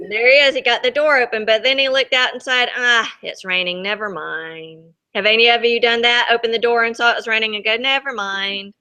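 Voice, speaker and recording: A woman teacher reading a picture book aloud to young children in an expressive, chatty way, often asking them questions. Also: on the high side, quick pace, hardly any pauses, clean tone. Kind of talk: expressive read-aloud storytime.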